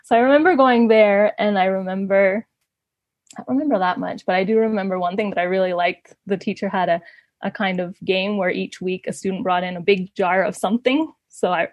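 A woman's voice: medium pitch, dry recording. A woman telling personal stories casually, in the manner of an interview guest, often laughing as she talks. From born in Canada, grew up in Ecuador. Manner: giggly, laughs often while talking.

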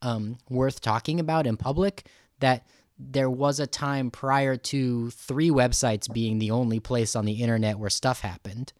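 A man hosting a podcast in a casual, halting, thinking-aloud way as he talks with a guest. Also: medium pitch, fairly even intonation, darkish tone, no echo, studio quality. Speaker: podcast host interviewing a guest. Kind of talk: casual conversational podcast talk.

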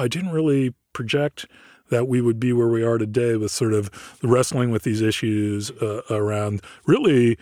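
A man's voice: medium pitch, a little mellow, no room sound. Dry-recorded earnest middle-aged man. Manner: earnest, thoughtful, with many fillers. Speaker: industrial researcher. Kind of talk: podcast interview.

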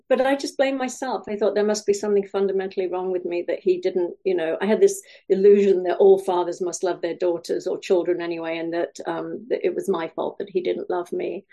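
A middle-aged woman from Wales, a podcast guest telling her story with many pauses, fillers and small laughs. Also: on the low side, mellow. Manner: hesitant, many fillers and restarts, laughs often. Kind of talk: podcast interview.

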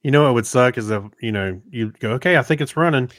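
A man's voice: medium pitch, animated, fast talker, fairly bright tone, dry recording, studio quality. A man co-hosting a casual podcast, laid-back, chiming in with short agreements and quips. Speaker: podcast co-host. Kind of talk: casual chat, reacting to the host.

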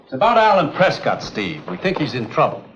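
An actor playing an earnest, authoritative commissioner in a 1950s adventure drama. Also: male voice, mid-range voice, wildly swooping pitch, a little fast, fairly bright tone, a little hiss or hum. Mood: grave, urgent. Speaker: commissioner giving orders. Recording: dull, muffled 1950s soundtrack.

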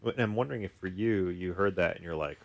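Man, very low pitch, quick pace, casual explanatory podcast host. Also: creaky.

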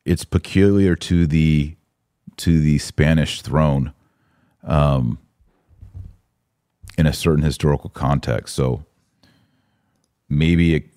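Low-voiced American man, casual podcast host. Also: mellow, dry recording, clean studio sound. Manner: informal slangy talk. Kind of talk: casual live commentary, reading and answering viewer comments.

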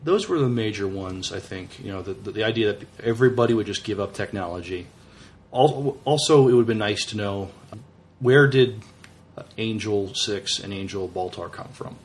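A man co-hosting a podcast, chatting casually and haltingly, often repeating words as he searches for phrasing. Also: on the low side. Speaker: podcast co-host. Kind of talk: casual chat reviewing a TV series.

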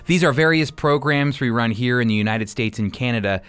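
A man with a smooth, resonant voice hosts a faith-based radio program. His pitch sits in the middle for a male speaker, and his delivery is earnest, with plenty of emphasis. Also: fairly quick, fairly bright tone. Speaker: radio show host.

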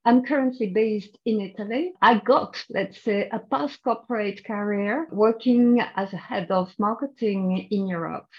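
A woman's voice: mid-range voice, unhurried, hardly any pauses. A middle-aged woman speaks with a French accent, patiently explaining her work as a guest coach. She thinks aloud and restarts her sentences as she goes. The sound is a little dull and muffled. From France. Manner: thoughtful, explanatory, with frequent restarts. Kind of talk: podcast interview.

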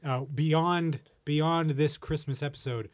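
A man's voice: fairly high pitch, steady tone, takes it slowly, no echo. A man hosting a podcast in a casual, chatty style. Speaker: podcast host. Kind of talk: casual chat.

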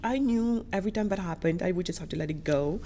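A woman's voice: on the low side, fast, warm tone. A woman from Senegal who later lived in Europe, speaking emphatically as an interview guest and telling personal stories, repeating words for stress. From Senegal, later Germany and France.

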